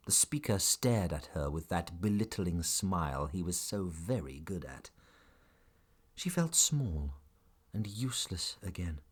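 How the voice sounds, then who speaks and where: A man's voice: low-pitched, husky. A man narrating a scripted fairy tale for a family audio drama as its storyteller. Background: music.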